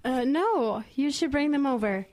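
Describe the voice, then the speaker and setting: Woman playing a high-voiced, secretive vengeful teenage girl. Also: lively intonation, moderate pace, background noise. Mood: hesitant, placating. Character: secretive, vengeful teenage schoolgirl dabbling with spirits. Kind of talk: audio horror drama acting.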